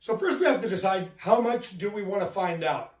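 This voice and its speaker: A man giving a deadpan, methodical mock lesson with casual profanity, recorded in a roomy, distant-sounding video. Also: high voice, brisk. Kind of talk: deadpan instructional explanation.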